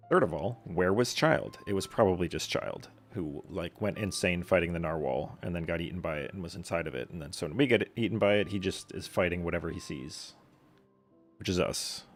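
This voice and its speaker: A man casually commentating on a live game stream in a dry, blunt way. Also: low voice.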